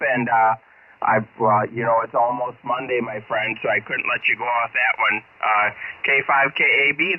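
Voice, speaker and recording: An older American man chatting casually over ham radio, courteous and folksy, with a halting delivery. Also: mid-range voice. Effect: ham radio transmission. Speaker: amateur radio operator calling in. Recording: narrow noisy shortwave radio signal.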